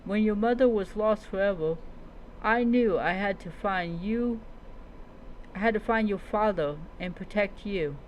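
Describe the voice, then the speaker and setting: Woman playing an old dragon guardian guy, slow and solemn, fantasy rp story, noisy recording. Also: mid-range voice, dull audio, natural voice. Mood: solemn, determined. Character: old male dragon protector in human form, solemn.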